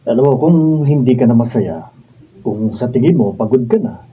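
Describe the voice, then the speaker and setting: A man's voice: mid-range voice, animated, normal speed, lightly acted. A Filipino radio-drama leading man, acted out by a man, hesitant and secretly in love. His intonation moves widely up and down. The sound is distant and phone-like, with faint noise. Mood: earnest, urging. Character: Carlo, hesitant male friend secretly in love with married woman. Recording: distant, phone-like raw studio video, noisy.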